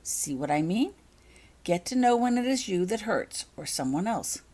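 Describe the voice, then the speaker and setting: A woman reader plays a kindly witch matron who heals at a school, in a storybook tale. She coaches patiently and firmly, giving clear instructions with a widely moving, animated pitch. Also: moderate pace. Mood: patient, instructive.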